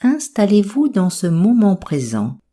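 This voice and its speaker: A woman with a soft, breathy voice calmly guiding a yoga nidra meditation in an unhurried, soothing way. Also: mid-range voice. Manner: calm, soothing, unhurried instruction. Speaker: meditation guide.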